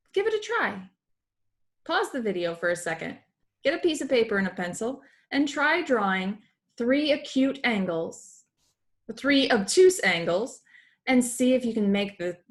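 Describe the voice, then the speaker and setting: A young adult woman teacher reading a math lesson aloud to children, recorded at a computer indoors. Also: fairly high pitch.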